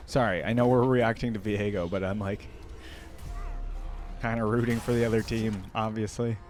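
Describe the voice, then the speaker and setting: A man's voice: fairly low pitch, fairly even intonation, normal speed, darkish tone, faint music behind. A man hosting a reaction video, commenting informally and enthusiastically as he thinks aloud.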